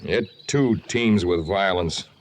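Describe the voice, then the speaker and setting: An actor playing a grave, brave jungle lord with formal, eloquent phrasing in an old-time radio adventure. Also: male voice, deep voice, slow. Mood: grave, reflective. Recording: noisy vintage radio recording.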